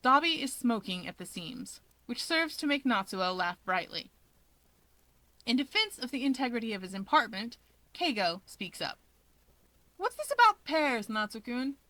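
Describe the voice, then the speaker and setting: A woman narrating fan fiction in an expressive audiobook style. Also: a little high, normal speed, no echo, rough recording.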